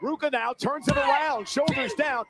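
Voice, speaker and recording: Male play-by-play commentator, very high pitched, loud noise behind from a replayed broadcast. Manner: rapid call of the action. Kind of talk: live TV sports commentary. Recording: broadcast played through a stream.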